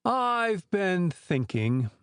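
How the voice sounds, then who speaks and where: Slow, earnest storybook bear voiced by a woman. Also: lowish voice, big pitch swings, clear, resonant tone, dry recording, clean studio sound, heavily acted. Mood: thoughtful, earnest. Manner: ponderous, earnest. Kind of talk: dramatized children's storybook reading.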